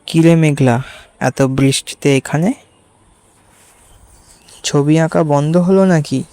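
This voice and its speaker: Tender young male musician played by a man for romantic audio drama; soft, unhurried, slightly higher male voice. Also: clean tone, no echo, soft background music. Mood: gentle teasing. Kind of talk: dramatised audio story dialogue.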